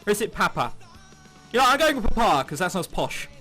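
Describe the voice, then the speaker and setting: A man's voice: high voice, sing-song, brisk, sharp tone. A man reading a visual novel aloud, with sarcastic asides and swearing. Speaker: let's play host. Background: music.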